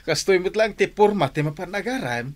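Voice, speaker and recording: An actor with an Ilocano accent playing a lovestruck, showy suitor in a comedy radio drama, with a husky voice. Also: male voice, high voice, animated, moderate pace. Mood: bashful, pleased. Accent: Filipino, Ilocano. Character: lovestruck male suitor, jokey and showy with his pal.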